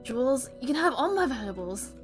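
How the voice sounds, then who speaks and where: A frightened hostage acted by a woman in an audio drama, begging in a very high, panicked voice. Clear music plays behind her. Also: big pitch swings, fairly quick. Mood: frightened, pleading. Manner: pleading, panicked. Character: terrified pleading female hostage.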